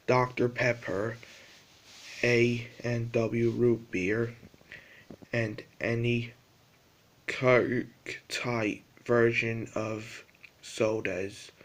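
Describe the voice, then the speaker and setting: A synthetic-sounding man delivers an emergency broadcast announcement. His pitch is average and barely moves. He reads the scripted warning very slowly, in a formal and impersonal way. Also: low-quality recording. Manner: flat, monotone, formal delivery.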